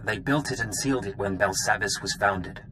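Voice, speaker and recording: An actor playing a grave intelligence official giving a measured, serious briefing in a video game. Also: male voice, lowish voice, close to an everyday voice. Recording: clear background noise.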